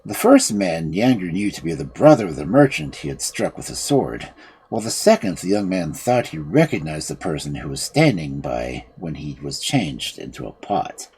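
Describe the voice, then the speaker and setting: Mellow, expressive fairy tale storyteller. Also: deep voice, normal speed, breathy edge, rough recording. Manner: theatrical, expressive. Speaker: storyteller and stream host. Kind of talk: dramatic fairy tale read-aloud.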